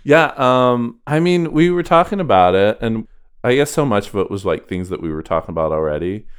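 A man's voice: fairly low pitch, lively intonation, brisk, clean tone. Man co-host with a clean, resonant voice, casual and rambling conversational delivery. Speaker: podcast co-host. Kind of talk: casual chat.

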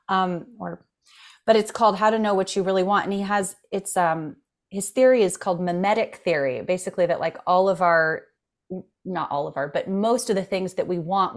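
A woman who grew up in France, chatting casually and informally as a podcast host, thinking aloud. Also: lowish voice. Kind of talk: casual chat.

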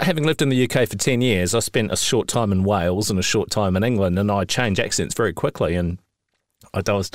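A man's voice: sits low, no echo. New Zealand man, dry close sound. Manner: swears freely, animated storytelling with rising indignation. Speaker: podcast co-host. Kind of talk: casual chat.

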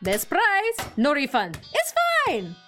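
A burly, effusive junkyard saleswoman pushes her deals in clipped grammar and an Eastern European accent. Her voice is high and very animated, with faint music behind, in a comic audio play. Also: slow, exaggerated voice. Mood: brisk hard sell. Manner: hard-selling, effusive, clipped foreign grammar. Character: warm, burly, pushy female junk parts dealer. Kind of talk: comedy sci-fi audio drama.

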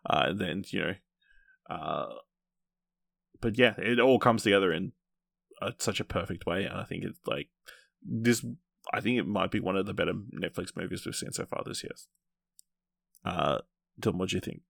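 A man with a low voice and a heavy creak chats as a podcast co-host. His delivery is casual and halting, feeling his way through each thought, with a dry studio-like closeness. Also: fairly bright tone, dry recording. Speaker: podcast co-host reviewing films and series. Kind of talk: casual unscripted film chat.

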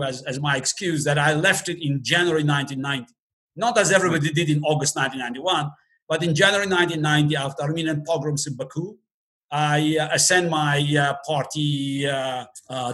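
A man, speaking English as a guest in a casual interview, halting with many fillers and restarts and a dry humor. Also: a little high, sharp tone. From Azerbaijan. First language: Russian.

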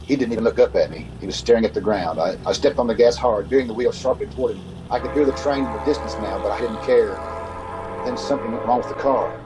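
A man's voice: mid-range voice, fast talker, over music, natural voice, low-quality recording. A man playing a haunted, uneasy motorist in an old-time radio suspense drama, recorded over a video call. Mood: grim, tense. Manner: brooding in narration, hesitant and stammering in dialogue. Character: haunted, uneasy male motorist narrating his ordeal. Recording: video call with clear background noise.

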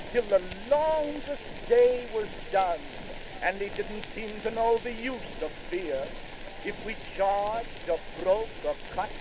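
High-voiced man declaiming over record crackle. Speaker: poetry reciter. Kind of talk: old-fashioned theatrical poetry recitation. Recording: crackly 1919 phonograph recording.

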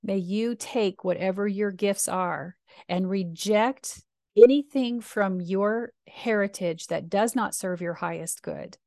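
A woman minister with a deep voice, speaking earnestly and reflectively in slow, deliberate phrases. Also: darkish tone, dry recording, studio quality. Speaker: minister and podcast host. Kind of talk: reflective personal talk.

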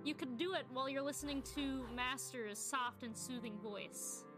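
A woman's voice: sits high, normal speed, over music. A woman co-hosting a podcast, chatting casually and playfully about video games with a joking manner. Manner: playful, joking, laughs often. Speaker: podcast co-host.

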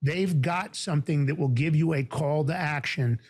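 A man from the Bronx with a New York accent, hosting a talk show in a fast, emphatic, indignant way. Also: on the high side, darkish tone, husky. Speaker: podcast host.

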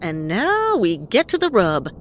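An actress playing a tough, wisecracking private detective in a comic noir audio drama, dry and unflappable. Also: female voice, mid-range voice, wildly swooping pitch, measured pace, a little hiss or hum. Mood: shrewd, probing.

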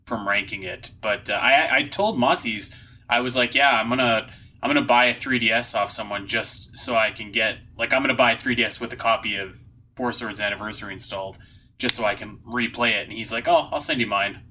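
Male podcast host, very bright forceful voice, upbeat and slangy, casual chat. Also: on the low side, brisk.

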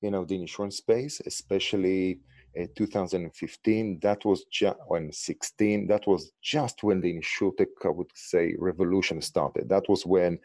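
A man with an Israeli accent speaks on a close, dry mic, his low voice mellow and relaxed. He talks with animated leaps in pitch on stressed words and often restarts his sentences. It sounds like a podcast interview guest. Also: moderate pace, no echo. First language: Hebrew. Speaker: guest, insurtech founder.

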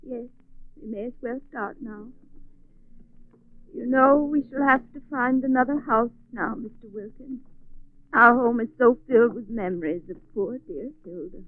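Actress as prim, falsely sweet murderess, muffled old radio. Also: female voice, on the high side, moderate pace, lightly acted. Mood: falsely mournful. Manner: genteel, affectedly sensitive. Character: prim, falsely sweet, cold-blooded murderous married woman. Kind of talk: 1940s radio acting. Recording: muffled vintage 1940s radio recording.

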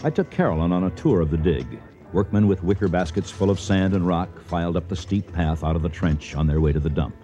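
A man's voice: deep voice, brisk, muffled recording, soft background music, natural voice. A man playing a kindly, trusting older archaeologist and father in a radio drama, articulate and reassuring. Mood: narrating calmly. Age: middle-aged or older. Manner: reassuring, gently dismissive, articulate. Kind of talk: radio drama acting with first-person narration.

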